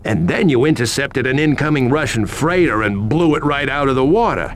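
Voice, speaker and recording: An actor playing a cocky, taunting police interrogator in a video game, needling and overly familiar. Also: male voice, mid-range voice, expressive, brisk, noisy background, lightly acted. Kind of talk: video game cutscene dialogue. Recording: music behind the voice.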